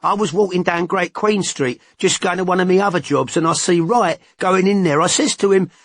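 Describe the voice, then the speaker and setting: A man voicing a cocky, smug man with a husky voice, in an audiobook, blunt and slangy. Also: sits high, moderate pace, pitched above the performer's own voice. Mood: gossipy. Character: cocky working-class English man, chatty, smug. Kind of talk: audiobook character voice.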